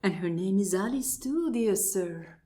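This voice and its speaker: A genteel lady acted by a woman in an absurdist radio play, with a mid-pitched voice. She marvels with formal politeness, drawing her phrases out slowly and repeating her exclamations. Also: lively intonation, warm tone, lightly acted. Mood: bemused. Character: genteel, wonderstruck married lady marvelling at coincidences. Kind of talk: absurdist stage dialogue played as radio drama.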